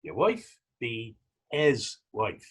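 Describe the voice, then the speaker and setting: A man playing a taunting, defiant Irishman in a readers theater drama, with a husky voice, an Irish accent and a mocking, wordy old-fashioned way of talking. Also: medium pitch, big pitch swings, drawn-out words, fairly bright tone, full character voice. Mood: mocking, provocative. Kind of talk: readers theater stage acting.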